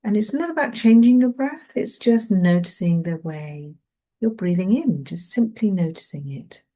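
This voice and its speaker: A woman leading a guided mindfulness meditation in a gentle, soothing manner, leaving long pauses between phrases. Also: medium pitch, expressive.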